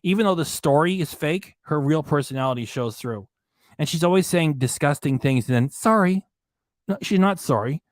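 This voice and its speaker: Guy doing a live stream rant, voice going hoarse, dry close sound. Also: a little high, expressive, moderate pace, no echo. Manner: indignant, repetitive ranting. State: losing his voice. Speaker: reaction channel host. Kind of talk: live stream commentary reading chat. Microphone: desk microphone, hidden behind a graphic.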